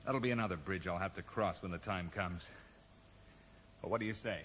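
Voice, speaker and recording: A cold, calculating scientist in a 1980s radio drama. His low voice is measured and smoothly persuasive as he justifies himself. The sound is reverberant with a faint noise behind it. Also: fairly bright tone. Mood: wary, coaxing. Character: cornered, calculating male research scientist, cold and self-justifying.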